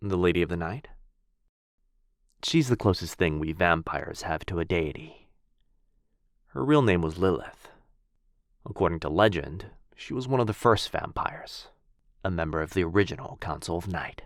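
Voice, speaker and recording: A man playing a soft-spoken vampire lord. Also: deep voice, animated, moderate pace, pauses often, no echo, clean studio sound. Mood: gentle. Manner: soft-spoken, courtly and reassuring. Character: gentle, kind male vampire lord, centuries old. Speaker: voice actor. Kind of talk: ASMR boyfriend roleplay.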